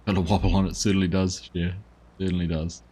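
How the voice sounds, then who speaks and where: A man's voice: sits low, level tone, fast, darkish tone. A man chatting casually while live-streaming a farming game, thanking viewers and answering their messages.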